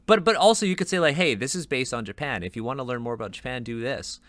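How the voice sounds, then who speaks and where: A man co-hosting a podcast discussion, talkative and quick to laugh and joke. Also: medium pitch, expressive, fairly bright tone, no echo. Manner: talkative, laughs often, jokey asides. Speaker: podcast co-host. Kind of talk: casual panel discussion.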